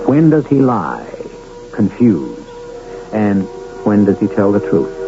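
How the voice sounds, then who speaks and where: Mystery radio host: man, lowish creaky voice, portentous narration over prominent music. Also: muffled recording. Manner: portentous, musing. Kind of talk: dramatic scripted radio narration.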